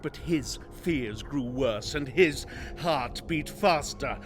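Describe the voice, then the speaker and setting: An actor playing a mad, obsessive, boastful murderer who narrates a radio play in a halting, theatrical way, moving between whispers and shouts. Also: male voice, mid-range voice, animated, over music. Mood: agitated, feverish. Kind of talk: dramatic radio play monologue. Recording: live-recorded radio play.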